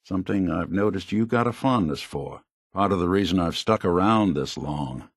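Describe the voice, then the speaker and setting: Game role: middle-aged android private eye, low gravelly register, world-weary but kindly, dry wit, noir delivery. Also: male voice, expressive, moderate pace, dry recording, heavily acted. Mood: wistful, reflective. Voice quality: gravelly, husky. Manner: wry, hard-boiled, folksy old-time slang. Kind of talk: video game dialogue, film noir detective acting.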